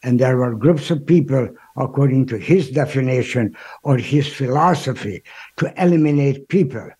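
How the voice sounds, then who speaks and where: A man in his eighties from Hungary, speaking with a Hungarian accent, answering interview questions in a halting way with restarts. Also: mid-range voice, warm tone, slightly rough. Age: 80s. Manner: halting, repeats and restarts words. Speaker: guest, Holocaust survivor. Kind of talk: interview answers, recounting history.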